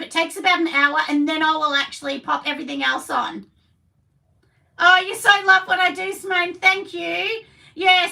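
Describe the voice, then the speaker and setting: Husky, gravelly Australian woman demonstrating crafts. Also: very high-pitched, bright, forceful tone. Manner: rambling, chatty, greets viewers by name. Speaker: soap maker hosting a live class. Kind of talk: chatty live-stream craft demo. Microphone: camera set down at a distance. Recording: voice a bit distant from the mic.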